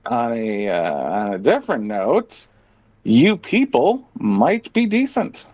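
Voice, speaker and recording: Lively, profane man on muffled audio. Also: mid-range voice, slow pace. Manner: profane, sardonic, opinionated. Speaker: podcast host. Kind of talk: casual chat, reading out items and reacting.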